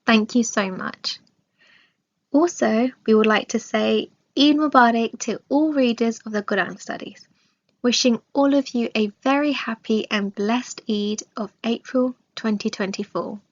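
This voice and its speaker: A woman reading a scripted religious study aloud in a measured, formal way. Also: fairly high pitch. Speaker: reader of religious study text. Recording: dull, lo-fi sound.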